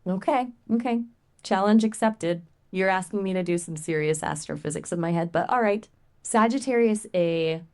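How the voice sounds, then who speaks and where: Playful low-pitched woman chatting casually. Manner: playful, expressive, colloquial. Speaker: conversational AI voice assistant. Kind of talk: casual conversational chat.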